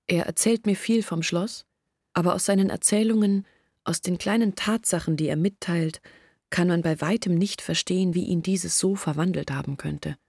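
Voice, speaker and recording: A woman's voice: lowish voice, moderate pace, a little mellow, breathy edge, no room sound, studio quality. An actress playing a young village woman who earnestly confides her family's troubles in a radio play. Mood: earnest, confiding. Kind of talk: German radio play monologue.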